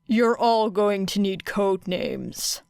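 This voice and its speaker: A woman voicing a stern, weary male teacher in an audiobook, with a curt, deadpan manner. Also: medium pitch, slow, creaky, smooth, dry recording, studio quality. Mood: dry, curt.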